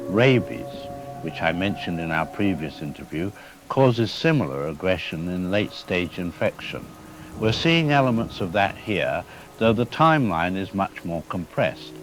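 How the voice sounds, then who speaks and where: A man's voice: sits low, rough recording. A man playing a cautious government doctor in a radio drama interview, speaking in a careful, diplomatic, official manner. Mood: measured reassurance. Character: cautious, measured male government doctor giving expert comment.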